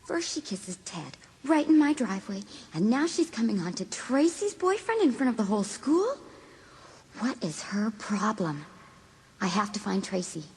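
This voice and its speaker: An actress playing a resentful American high school senior girl in a dramatised audiobook. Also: big pitch swings, normal speed, a little mellow, husky, full character voice. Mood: indignant, muttering.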